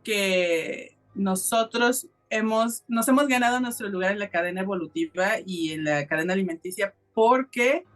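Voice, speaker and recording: Female co-host, mid-range voice, emphatic casual opinions, soft music behind. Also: mid-range voice, normal speed, hardly any pauses. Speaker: podcast co-host.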